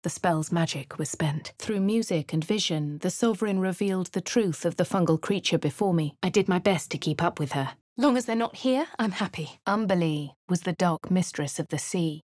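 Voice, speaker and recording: A woman voicing a husky posh adventurer heroine. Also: fairly low pitch, normal speed, few pauses, darkish tone, no echo, studio quality, lightly acted. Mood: wry. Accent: upper-class English. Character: posh female adventurer, dry-witted and composed. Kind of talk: video game voice lines, in-character narration. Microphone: close studio mic.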